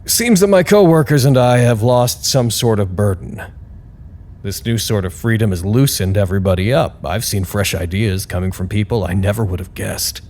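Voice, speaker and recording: A male actor as a bookish records-keeper in an audio drama, low in pitch and precise in his wording. He sounds dry and wry, with noticeable noise around the voice. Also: moderate pace, darkish tone, close to an everyday voice. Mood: reflective. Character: bookish, dryly formal male researcher keeping records. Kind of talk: audio drama acting, journal-like narration and dialogue.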